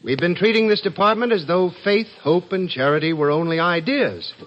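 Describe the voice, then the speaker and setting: An actor playing a kindly, dignified head of a heavenly department, speaking in solemn, emphatic oratory in 1950s radio drama style. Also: male voice, sits high, moderate pace, close to an everyday voice. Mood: solemn, earnest. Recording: dull, band-limited 1950s radio recording.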